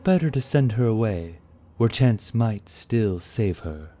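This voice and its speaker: A storyteller puts on a stern warden and swordsman, formal and sure of himself. His lines come slow and deep, slightly raised and firmer than the narration. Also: animated, dark tone.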